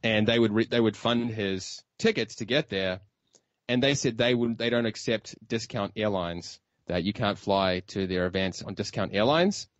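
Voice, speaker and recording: A man hosting a talk radio show, interviewing a guest in a brisk, restarting way. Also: fairly low pitch, dry recording. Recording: dry, dull lo-fi radio audio.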